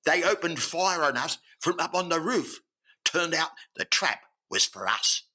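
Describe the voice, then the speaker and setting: A man's voice: on the high side, expressive, a little slow, sharp tone, breathy edge, exaggerated voice. An actor playing a dutiful, earnest police sergeant in an old-time radio drama, deferential to his superior. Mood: earnest, urgent.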